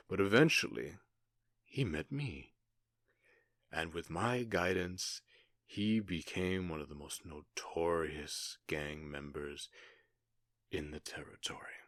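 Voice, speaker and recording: Casting: menacing male gang boss played by a man for ASMR roleplay, low and unhurried, smug, taunting, very dry close sound. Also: a bit bright, no room sound. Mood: smug storytelling. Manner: smug, taunting, drawn-out storytelling.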